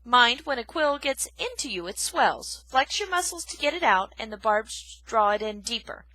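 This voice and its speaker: A woman voicing a grumpy, loud old male porcupine who blusters and shouts in a storybook reading. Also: fairly high pitch, very animated, moderate pace, no room sound, voice pushed up, rough recording. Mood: gruff.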